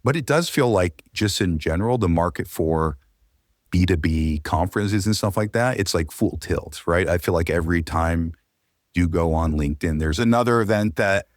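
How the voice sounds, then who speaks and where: Man, very deep voice, podcast guest, informal, matter-of-fact, conversational, no room echo. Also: normal speed, clean studio sound. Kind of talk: casual conversational podcast talk.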